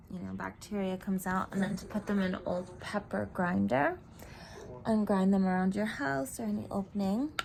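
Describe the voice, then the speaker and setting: A woman giving a spiritual tarot reading at home into her camera. She talks in a croaky, meandering, reflective way, full of fillers, and often drops into whispers. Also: mid-range voice, darkish tone, slight background noise. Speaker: tarot reader. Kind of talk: spiritual card reading monologue. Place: home room. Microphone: camera mic.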